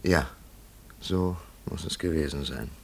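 An actor playing a thoughtful, probing investigator with a pondering, deliberate manner in a German radio play. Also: male voice, rumbling low, creaky, slightly rough, heavily acted. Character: thoughtful, probing male investigator, quietly certain.

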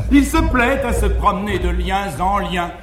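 An actor playing a garrulous, fearful but frank male valet, delivering quick, emphatic comic declamation on a 1950s live stage. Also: high-pitched, expressive. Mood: deferential. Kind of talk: classical verse-era stage acting. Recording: echoey 1950s live theatre recording, reverberant hall.

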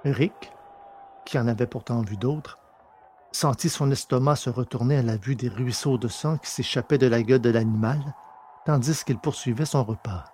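A man reading scripted adventure fiction as a dramatic audiobook narrator, his voice slightly husky. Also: medium pitch, moderate pace, a little mellow, a little hiss or hum.

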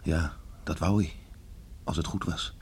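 A low-voiced, stern middle-aged farmer father, stubborn and weighed down by remorse. He broods and halts in a radio drama, with faint noise on the recording. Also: steady tone. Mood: defensive, remorseful. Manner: brooding, halting, muttering to himself. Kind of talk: Dutch radio play acting.

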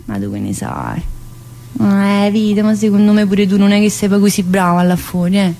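Actress doing a chatty woman prisoner in a one woman play, bossy n needy, teasing, normal pitch. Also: sing-song, a little slow, creaky, pauses often, warm tone. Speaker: actor in a solo stage monologue. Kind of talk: theatrical monologue.

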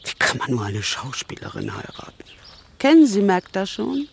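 An older actress playing a blunt, disapproving elderly English spinster aunt in a radio play, brusque and scornful. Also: female voice, medium pitch, huge pitch swings, moderate pace, creaky, slight background noise.

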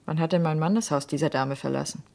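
An actress playing a cool, suspicious widow in a German radio crime drama, questioning in a sardonic, probing way. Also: female voice, low-pitched, quick pace, creaky, a little mellow. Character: cool, suspicious wife of a murdered man.

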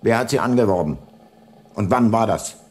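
An actor playing a hard-nosed, cunning older private detective in a radio drama, terse and dry. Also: male voice, fairly low pitch, lively intonation, moderate pace, a little mellow. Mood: menacing, pressing. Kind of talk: radio drama narration and dialogue.

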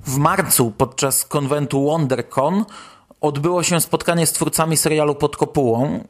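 A man's voice: a little high, moderate pace, slight background noise. A man hosting a podcast, presenting a news roundup in speech. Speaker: podcast host.

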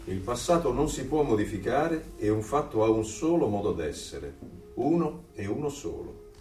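Radio play monologue: male actor as earnest rebel, mid-pitched, reflective, distant and reverberant. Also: very animated, normal speed, darkish tone, close to an everyday voice. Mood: troubled. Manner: reflective, intense. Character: earnest, brooding male dissident narrating his secret thoughts. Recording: echoey, distant over music.

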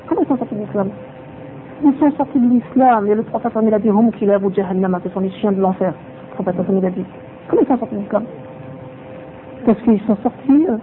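A man giving a religious lesson who drops in Arabic phrases and repeats his points for emphasis. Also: sits high. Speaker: religious teacher. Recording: tinny phone-like sound with faint noise.

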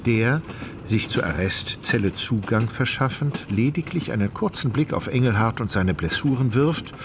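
A man reading scripted literary narration for a radio play in a measured, articulate storytelling manner. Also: mid-range voice, lively intonation, background noise. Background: music.

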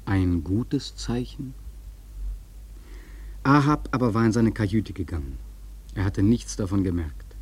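A man narrating a literary radio drama from a script in a mellow, husky voice. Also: lowish voice, expressive, a little slow, pauses often.

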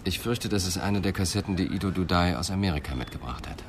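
An actor playing a calm, probing police inspector in a radio drama, measured and insistent. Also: male voice, low-pitched, soft background music. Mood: musing, grave. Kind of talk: German radio drama acting. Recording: faint background noise.

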